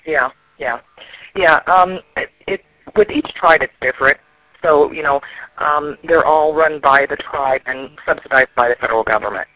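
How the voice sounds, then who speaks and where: Bright, forceful man explaining. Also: a little high, normal speed, no room sound, rough recording. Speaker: activist. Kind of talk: serious phone conversation.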